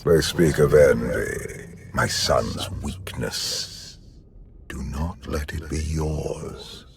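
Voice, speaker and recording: An actor playing a brooding ancient emperor in a video game, haughty and ominous, with a deep gravelly voice. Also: male voice, drawn-out words, slight background noise, full character voice. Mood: ominous, aloof.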